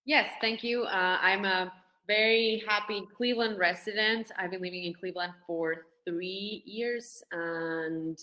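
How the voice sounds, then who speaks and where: A woman's voice: mid-range voice, steady tone, unhurried, hardly any pauses, sharp tone, reverberant, rough recording. A woman, speaking as a panelist on a video call in a friendly way, a little flustered by her screen share. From Argentina.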